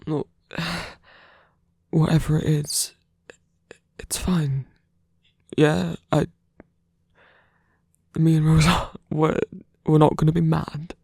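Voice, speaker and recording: A man playing a gentle, caring lover in an ASMR roleplay, speaking softly, breathily and hesitantly. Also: a little high, little pitch movement, very slow, pauses often, no room sound, studio quality, exaggerated voice. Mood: awkward reassurance. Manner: soft, hesitant, breathy delivery. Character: gentle, caring male lover soothing a partner.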